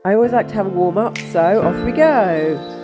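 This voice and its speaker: Woman from England, croaky with a cold, casual chatty vlog delivery, middle pitch. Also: lively intonation, normal speed, dark tone, faint music behind. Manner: chatty, with fillers and light laughs. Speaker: vlogger.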